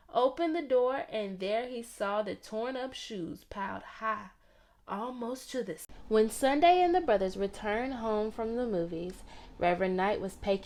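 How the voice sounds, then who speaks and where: Higher-voiced woman reading a storybook. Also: a little mellow. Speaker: storybook reader. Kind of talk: expressive children's read-aloud narration.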